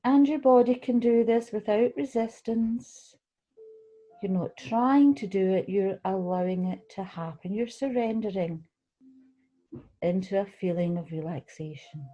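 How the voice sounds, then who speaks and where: A woman leading a guided relaxation meditation, speaking gently and soothingly, unhurried, with pauses between phrases. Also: medium pitch, poor audio. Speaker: guided meditation leader, tai chi qigong teacher.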